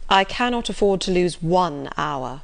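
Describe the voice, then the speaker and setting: A woman voicing a grave, guarded gentleman colonel in a storybook, speaking with formal politeness. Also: lowish voice, animated, unhurried. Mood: firm, regretful. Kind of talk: acted storybook dialogue.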